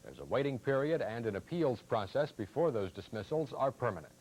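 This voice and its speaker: A man's voice: medium pitch, very animated, brisk, a little mellow, poor audio. A man with an American accent reading scripted television news as an anchor. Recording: faint noise, archival TV broadcast.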